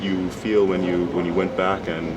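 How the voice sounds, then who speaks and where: A man interviewing a singer, asking his questions with many ums and pauses and affirming her answers. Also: low voice, level tone. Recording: noisy background hum.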